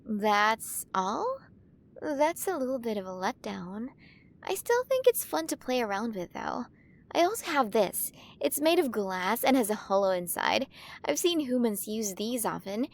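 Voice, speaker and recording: A fairly high-pitched alien queen played by a woman speaks straight to her human in an ASMR roleplay. She is ancient yet full of playful wonder, doting and possessive, with a gentle condescension toward the small creature she keeps. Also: very animated, measured pace. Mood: excited, curious. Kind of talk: ASMR roleplay, acted monologue to the listener.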